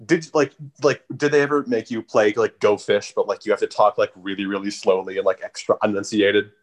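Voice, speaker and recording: A man's voice: on the low side, steady tone, a little fast. A young man co-hosting a casual podcast chat, rambling with lots of fillers, restarts and a light stutter. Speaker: podcast co-host.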